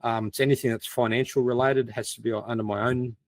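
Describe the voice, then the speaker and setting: A man's voice: mid-range voice, fairly even intonation, a little fast, no echo. Chatty man with a dry, close sound. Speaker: podcast co-host and crime writer. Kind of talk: casual conversational anecdotes.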